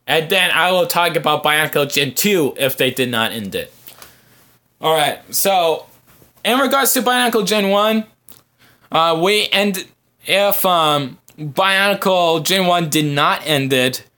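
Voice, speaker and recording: A man hosting a casual fan podcast, answering viewer comments in a halting, rambling way as he thinks aloud. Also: a little high, sharp tone. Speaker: podcast host.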